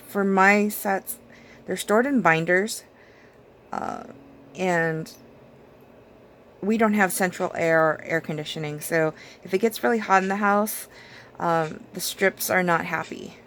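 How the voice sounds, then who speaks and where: A woman vlogger losing her voice, her speech hoarse and raspy as she chats casually through a nail routine with plenty of fillers. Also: fairly low pitch, takes it slowly, long pauses, a little hiss or hum. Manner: rambling, chatty, with many fillers and small laughs. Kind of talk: casual chat while doing her nails.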